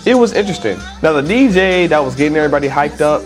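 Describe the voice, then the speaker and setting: A man with a stuffy nose, talking casually to camera as a vlogger in a relaxed, chatty, informal way. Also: fairly high pitch, very fast, soft background music.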